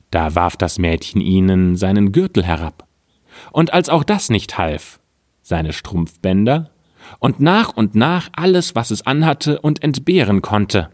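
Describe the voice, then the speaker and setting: Slow, calm male fairy-tale reader. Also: low voice, no echo, studio quality. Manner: calm, measured storytelling. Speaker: storyteller. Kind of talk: reading a fairy tale aloud.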